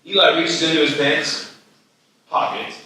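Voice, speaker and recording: A man's voice: fairly high pitch, steady tone, measured pace, sharp tone, far from the mic, slight background noise. A man reading screenplay stage directions as narrator at a live table read. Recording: very echoey room, off the mic.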